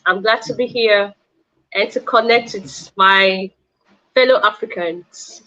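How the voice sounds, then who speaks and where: Woman, from eastern Nigeria, food entrepreneur, very slow speech, dull lo-fi video call audio. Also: medium pitch, bright, forceful tone. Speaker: panel guest, food entrepreneur.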